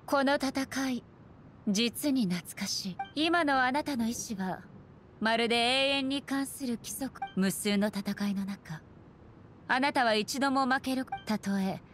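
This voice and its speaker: Cold female puppet shogun, highish voice, formal, declamatory, relentless, anime game, clear music behind. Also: slow pace, a bit bright. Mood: solemn, resolute. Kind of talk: Japanese anime game acting.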